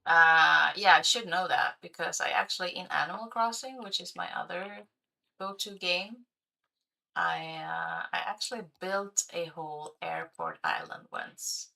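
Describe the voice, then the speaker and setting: Slow, gravelly woman streamer. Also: on the low side, very animated, pushed and bright, low-quality recording. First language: Swedish. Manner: chatty, thinking aloud, hesitant with fillers. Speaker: game streamer. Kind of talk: casual livestream commentary while playing.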